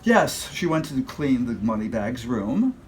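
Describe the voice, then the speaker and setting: A man's voice: medium pitch, big pitch swings, measured pace, darkish tone. A man playing a servile, evasive club employee in a comic noir radio play, formal and stammering. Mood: helpful, hesitant. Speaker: actor.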